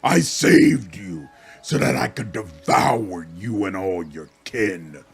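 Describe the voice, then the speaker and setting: A man acts out a ravenous ruler of a devouring insect swarm for a fantasy game. The delivery is slow and commanding, with pompous menace. Music plays faintly behind. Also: fairly low pitch, expressive, gravelly, full character voice. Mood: menacing.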